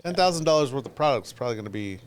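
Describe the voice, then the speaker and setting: A man's voice: medium pitch, animated, rapid-fire, a little hiss or hum. An American man chatting casually as a co-host on a podcast. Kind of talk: casual chat.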